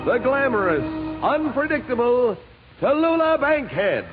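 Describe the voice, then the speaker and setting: A man's voice: very high-pitched, big pitch swings, a little slow. A man announcing a 1950s radio variety show in a grand, proclaiming manner. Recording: tinny 1950 radio broadcast with music. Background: music.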